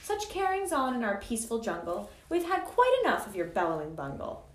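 Woman as a snappish, haughty kangaroo, animated delivery. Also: on the high side, brisk, echoey room. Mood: scornful. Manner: snappish, dismissive, pompous. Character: big haughty, scornful female kangaroo.

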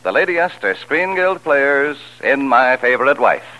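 Male 1940s radio announcer, medium pitch, very animated, music behind, vintage broadcast recording. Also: moderate pace, low-quality recording.

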